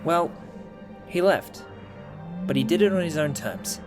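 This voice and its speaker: A man acting a brisk, upbeat time-travelling alien adventurer in a fan audio drama, playful with hesitant asides. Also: on the high side, close to an everyday voice. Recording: loud music behind.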